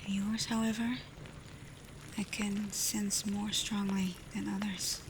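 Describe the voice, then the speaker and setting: A woman playing a tender, protective alpha werewolf in an intimate ASMR roleplay, speaking softly and breathily, often in a whisper. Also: medium pitch, level tone. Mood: soothing, curious. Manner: slow, gentle, hesitant when confessing feelings. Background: ambient storm noise.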